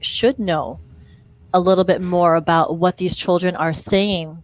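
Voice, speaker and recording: Lower-voiced woman from Hawaii. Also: fairly even intonation, measured pace. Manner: chatty, self-deprecating, laughs often. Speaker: speech-language pathologist guest. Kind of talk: conversational interview.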